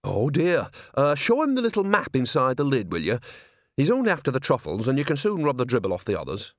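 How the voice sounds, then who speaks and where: A man's voice: medium pitch, wildly swooping pitch, brisk, no echo, clean studio sound. A man voicing a cheerful, gossipy old woman witch. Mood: breezy. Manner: gossipy, breezy.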